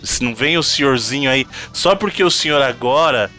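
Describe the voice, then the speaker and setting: Male podcast host, lively bright voice, mock-formal teasing banter. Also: mid-range voice, fairly quick, faint music behind.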